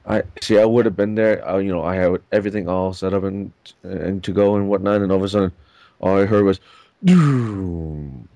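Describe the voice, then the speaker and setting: Very deep-voiced sick male co-host. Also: normal speed, warm tone, dry recording. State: sick with chest congestion. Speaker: podcast co-host. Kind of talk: casual gaming podcast chat.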